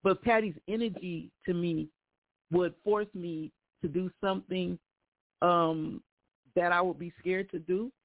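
An older woman, a guest singer, chatting on a radio call-in show with a deep voice and frequent laughter. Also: fairly even intonation, a little slow. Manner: laughs often, warm exclamations. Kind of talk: casual radio call-in chat. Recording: tinny phone line.

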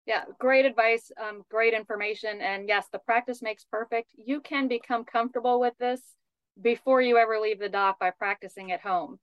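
A woman's voice: a little high, steady tone, moderate pace, clean tone, no echo. A woman hosting in a conversational style, heard on dull, lo-fi audio. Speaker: host.

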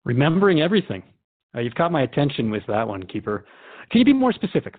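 A man as a brisk, curious radio host. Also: on the high side, big pitch swings, no echo, phone-quality sound. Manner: earnest, questioning, reflective. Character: curious, puzzled male radio host interviewing a spirit. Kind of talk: scripted radio drama interview.

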